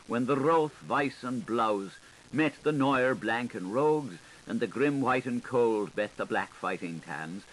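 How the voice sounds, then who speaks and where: A man's voice: mid-range voice, poor audio. A man reading literary prose as an audiobook narrator, with a theatrical, varied, lilting delivery. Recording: hissy 1980s tape recording. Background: steady tape hiss.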